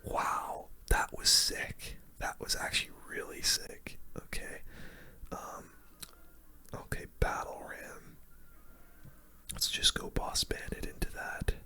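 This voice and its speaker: A young man whispering breathy, casual, slangy game commentary in an ASMR style, close to the microphone. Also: slow, bright, forceful tone. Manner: casual, slangy, reacting to play. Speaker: gaming video host.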